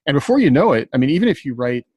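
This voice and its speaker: A man's voice: medium pitch, very animated, very fast, a little mellow, slightly rough, dry recording. A man talking casually on a writers' panel, giving advice in an earnest, encouraging way. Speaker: science fiction writer on a podcast panel.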